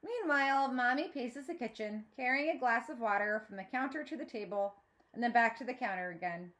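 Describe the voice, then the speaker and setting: A woman's voice: on the high side, brisk. A woman reading a children's novel aloud as a teacher would, narrating in the first person and acting out the dialogue. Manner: expressive, acts out dialogue.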